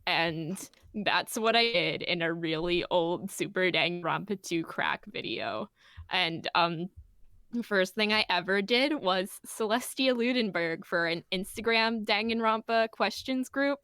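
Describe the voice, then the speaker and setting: Young woman, bright clear voice, dry close sound, chatty with ums. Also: lowish voice, unhurried, no room sound. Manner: chatty, hesitant fillers. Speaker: hobby voice actor panelist. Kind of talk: casual panel chat.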